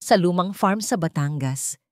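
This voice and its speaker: A woman's voice: lowish voice, animated, a little slow, dry recording, studio quality. Woman voicing a stern older rich father. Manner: firm, emphatic.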